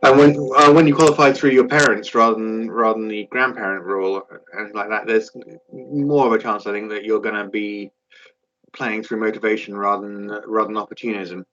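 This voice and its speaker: A man co-hosting a sports podcast, chiming in with quick remarks. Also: lowish voice, steady tone, fairly bright tone, lo-fi sound. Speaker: podcast co-host. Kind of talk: casual sports chat.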